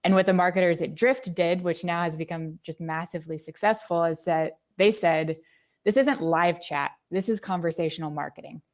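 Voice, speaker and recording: A woman with a fairly low voice. She speaks as an interview guest, articulately laying out explanations with concrete examples in a conversational way. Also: normal speed. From United States. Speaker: marketing executive, podcast guest.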